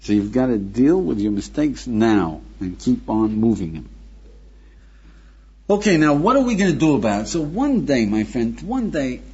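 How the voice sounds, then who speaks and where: A man's voice: mid-range voice, big pitch swings, moderate pace, darkish tone, slightly rough, dull audio. A man teaching as a rabbi, lecturing emphatically with rhetorical questions and calling his listener "friend". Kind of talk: recorded lecture.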